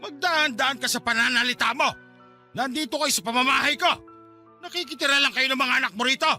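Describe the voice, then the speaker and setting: A jobless, drunkard Filipino husband in a radio drama. His voice is strained high and very piercing, blustering and needy, over faint music. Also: a little fast, bright, forceful tone, full character voice. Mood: defensive, angry.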